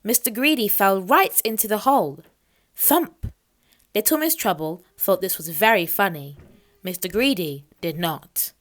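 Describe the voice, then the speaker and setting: A woman reading a children's picture book aloud as an expressive storyteller. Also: mid-range voice, a little slow, no room sound.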